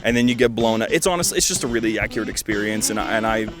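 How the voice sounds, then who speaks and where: Male game video host, twenties, casual and rambling, enthusiastic, lower-pitched middle register, clear music behind him. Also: animated, rapid-fire. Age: 20s. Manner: rambling, enthusiastic, jokey asides. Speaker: video host reviewing fan games. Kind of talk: casual commentary.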